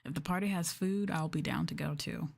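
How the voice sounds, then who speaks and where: A woman speaks in a soft, slightly low voice with a breathy, husky edge that often sits close to a whisper. She chats casually and unhurriedly as an ASMR livestream host, musing along with her viewers. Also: a little fast.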